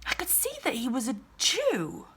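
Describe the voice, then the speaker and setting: A woman playing a breathy, weary Samaritan woman. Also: high-pitched, wildly swooping pitch, normal speed, heavily acted. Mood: recounting. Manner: confiding, shifts between loud cries and hushed whispers. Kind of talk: first-person dramatic monologue.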